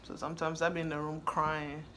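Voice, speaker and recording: A woman's voice: deep voice, monotone, brisk, noisy background. A woman from Ghana, chatting casually and laughing easily as she answers questions in a vlog. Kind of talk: casual chat.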